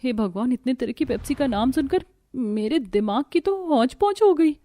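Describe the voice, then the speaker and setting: Woman voicing young bride-to-be, shy, bewildered, polite, high voice, cartoon comedy, music behind. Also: animated, moderate pace, warm tone. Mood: flustered. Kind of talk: Hindi cartoon comedy acting.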